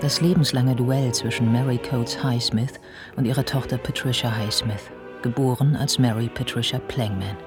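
A man acting as the sober narrator of a radio drama, with measured, literary, matter-of-fact delivery. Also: mid-range voice, steady tone, over music, natural voice. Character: sober male narrator observing an ageing writer's life.

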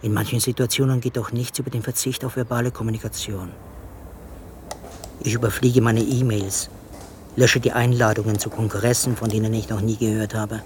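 A man's voice: lowish voice, little pitch movement, brisk, a little mellow, a little hiss or hum, natural voice. A man playing a dry, wry hospital psychiatrist in a radio drama, speaking in an understated way. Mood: dry, detached. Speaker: narrating protagonist, psychiatrist. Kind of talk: radio drama, first-person narration and dialogue.

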